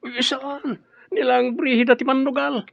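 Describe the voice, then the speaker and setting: An actor playing a scheming, coaxing gambler in an audio drama. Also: male voice, extremely high pitch, flat delivery, normal speed, gravelly, no echo, highs cut off. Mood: sly coaxing.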